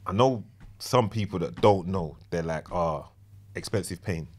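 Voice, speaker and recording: A man's voice: sits low, animated, measured pace. A man from South London with a London accent, hosting a podcast interview in a laid-back, affirming way. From Lewisham, South London. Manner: laid-back, affirming, lots of "yeah, yeah" and "facts". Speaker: podcast host.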